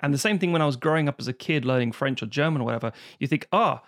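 A man's voice: mid-range voice, racing. A man co-hosting a podcast, ranting in casual chat and swearing freely. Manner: swears freely, animated rants. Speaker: podcast co-host.

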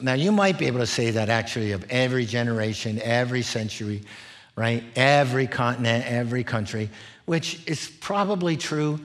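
Male preacher, middle-aged, mid-pitched voice, explanatory teaching delivery with frequent check-in questions. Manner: explanatory, often checks in with "right?" and "okay?". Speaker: preacher, former missionary. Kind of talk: expository sermon, teaching in numbered points.

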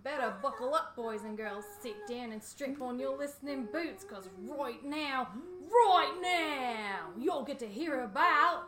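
A woman's voice: high voice, expressive, measured pace, few pauses, a crowd in the background. A woman playing a chummy, over-the-top announcer in a comic radio advertisement, with a playful hard sell. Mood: chummy hype.

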